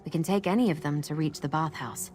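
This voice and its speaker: A woman acts as a guarded, determined guide in a fantasy game. Her voice sits low, and she speaks with measured resolve over faint music. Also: a little mellow, close to an everyday voice. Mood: matter-of-fact.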